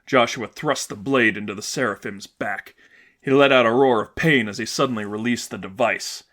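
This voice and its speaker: A man reading a story aloud as dramatic narration, in a measured, earnest, suspenseful manner. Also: mid-range voice, fairly bright tone. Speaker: narrator reading fan fiction.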